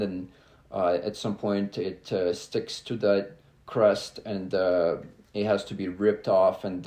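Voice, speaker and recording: Halting, earnest man in an echoey room. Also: lowish voice, mellow. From Belarus. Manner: halting, many "uh" fillers, earnest and open. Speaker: podcast guest, climber. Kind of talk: casual interview talk.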